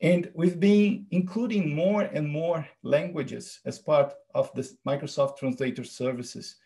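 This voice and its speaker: A man with a fairly high voice gives an earnest tech talk. He explains step by step, pausing on fillers as he goes. He sounds middle-aged and eager to teach. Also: fairly even intonation, vocal fry, warm tone. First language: Portuguese. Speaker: presenter.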